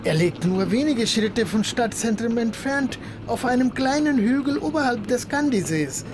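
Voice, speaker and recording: A learned Tamil gentleman in a radio drama. He is courtly and rather self-important, with florid, polite phrasing. There is clear noise under the voice. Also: very high voice, animated, normal speed. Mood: delighted, inviting. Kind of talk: German radio play acting.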